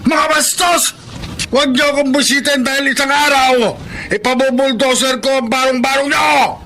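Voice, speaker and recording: A man with a Filipino accent playing a cruel, contemptuous rich neighbour who shouts insults in a radio drama. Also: very high-pitched, steady tone, normal speed, slight background noise, exaggerated voice. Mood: furious, threatening.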